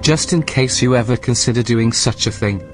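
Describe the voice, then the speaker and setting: Male station AI played by an actor, audio drama. Formal, measured, politely probing, coolly suspicious. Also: mid-range voice, music in the background, lightly acted. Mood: stern formality.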